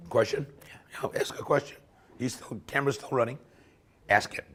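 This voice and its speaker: A man's voice: mid-range voice, steady tone, normal speed, fairly bright tone. A man giving an informal technical lecture at a workshop while losing his voice, often checking in with "okay?". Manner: conversational, often ends points with "okay?". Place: lecture room.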